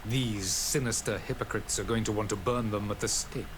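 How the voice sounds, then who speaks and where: An actor playing a stately, high-ranking male official in a fantasy video game, with a grand and formal delivery. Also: steady tone, moderate pace, noisy background, lightly acted. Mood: grave, decisive. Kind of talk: scripted video game dialogue.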